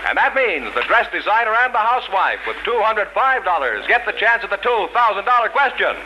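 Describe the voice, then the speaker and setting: A man's voice: sits high, big pitch swings, brisk, bright, forceful tone, dull audio, slight background noise. An American man announcing and reading sponsor copy in polished scripted 1940s radio style. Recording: old 1940s radio broadcast recording. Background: music.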